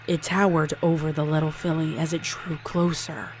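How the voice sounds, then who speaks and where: Low woman voice narrating creepy story, scripted n dramatic, music behind. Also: little pitch movement, moderate pace. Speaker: narrator of a horror fan fiction. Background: music under the voice.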